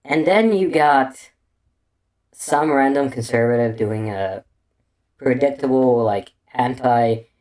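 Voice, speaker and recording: A man's voice: mid-range voice, slow pace, a little mellow. A man living in Bulgaria with an unusual accent, rambling through a casual, crude commentary full of restarts and fillers. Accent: unusual non-native English accent.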